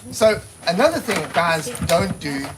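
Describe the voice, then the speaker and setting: A man's voice: high voice, big pitch swings, a little slow, background noise. A man giving a casual, practical talk at a seminar, plainspoken and given to repeating himself while he explains. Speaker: seminar presenter.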